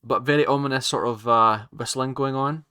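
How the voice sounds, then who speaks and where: A man hosts a casual reaction video in a bright, forceful voice. He talks haltingly, rambling and doubling back on his own phrases. The sound is dry, with hardly any room in it. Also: mid-range voice, fairly even intonation, clear, resonant tone, no echo. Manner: halting, rambling, circles back to repeat himself. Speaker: reaction channel host.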